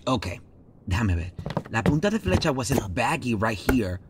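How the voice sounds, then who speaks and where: A man playing a theatrical, wildly animated guy. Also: medium pitch, fairly quick, noisy background, natural voice. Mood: dramatic, eager. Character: theatrical, helpful male friend named Lalo, mixing Spanish into English. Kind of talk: audio drama acting.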